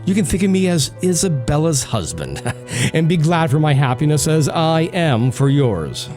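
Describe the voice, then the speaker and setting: An actor playing a tormented, vengeful spurned lover, bitter and mocking, in intense radio melodrama. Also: male voice, fairly high pitch, very animated, moderate pace, music in the background. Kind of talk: melodramatic old-time radio drama acting.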